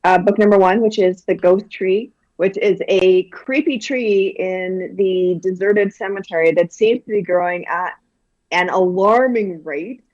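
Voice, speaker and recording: A woman's voice: mid-range voice, unhurried, no echo, muffled recording. Eager woman author from Guyana. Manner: animated, enthusiastic, many fillers and restarts. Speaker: children's book author, guest. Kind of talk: casual interview chat.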